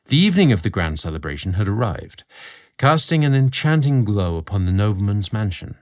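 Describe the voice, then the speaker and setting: A man narrating a scripted romantic story in a measured, expressive storybook manner. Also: fairly low pitch.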